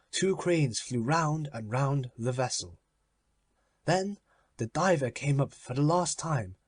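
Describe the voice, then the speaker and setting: A man reading a literary children's story as a measured audiobook narration. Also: mid-range voice, breathy edge, no room sound.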